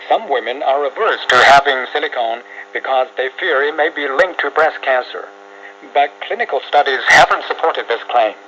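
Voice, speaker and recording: A male radio reporter reads a scripted news piece in a bright, forward voice of medium pitch. The sound is dulled by shortwave reception, with some hum and static behind him. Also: normal speed. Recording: muffled shortwave radio, steady hiss.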